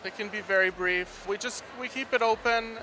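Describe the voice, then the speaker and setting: A man with a fairly high voice, a gecko breeder, answers interview questions in a hesitant, soft-spoken way and leans on many fillers. Clear noise from the busy expo is heard behind him. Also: moderate pace, low-quality recording. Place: busy reptile expo hall.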